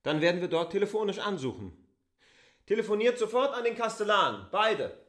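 An imperious stranger in a literary radio drama. He is brusque and exacting, issuing orders with a highly animated, swinging intonation. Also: brisk, dry recording, studio quality. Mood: firm, insistent. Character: K., stern imperious male stranger commanding his helpers.

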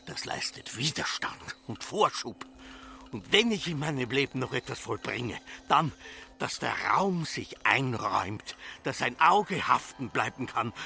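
An obsessive Austrian farmer, animated and declamatory. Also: male voice, mid-range voice, gravelly, full character voice. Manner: declamatory, solemn, incantatory listing. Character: stubborn farmer obsessed with building his machine. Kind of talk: literary radio drama monologue.

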